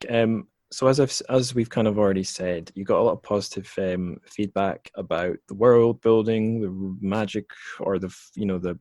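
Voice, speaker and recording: A man hosting a podcast interview, his voice very low and the recording dry with no room sound. He talks casually, full of ums and restarts as he gropes for his question. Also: a little mellow, no room sound. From lived in China. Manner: rambling, many fillers and restarts. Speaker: podcast host.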